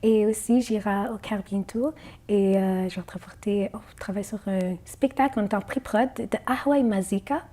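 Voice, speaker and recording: A woman's voice: medium pitch, moderate pace, dark tone. A woman singer whose first language is Arabic, answering interview questions in a hesitant way, with many fillers and restarts.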